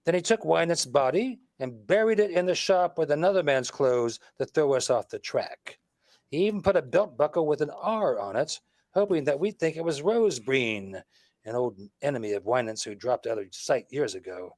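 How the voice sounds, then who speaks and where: A man performs a shrewd, confident detective from a 1940s radio mystery. His fairly high voice moves animatedly as he lays things out with emphasis and a wisecracking edge, in a dry, close sound. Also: expressive, moderate pace, a little mellow, dry recording. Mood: confident, explanatory. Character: shrewd, wisecracking male detective laying out a murder case.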